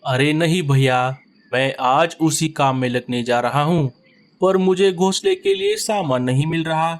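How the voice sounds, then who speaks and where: A man's voice: fairly high pitch, sing-song, a little slow. A man voicing an easygoing, lazy male sparrow husband in a children's cartoon, grumbling and pleading. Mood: earnest. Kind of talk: cartoon voice acting.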